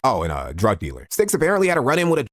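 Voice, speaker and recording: A man's voice: medium pitch, wildly swooping pitch, rapid-fire, fairly bright tone, soft background music. A man reading a scripted commentary narration in a snarky, mocking tone.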